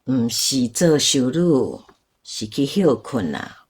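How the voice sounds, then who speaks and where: An actress with a Taiwanese accent playing a calm, resigned older woman in a radio drama. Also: extremely low pitch, slow, husky, exaggerated voice. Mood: gentle resignation. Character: calm, resigned older woman, a former nun and lost sweetheart.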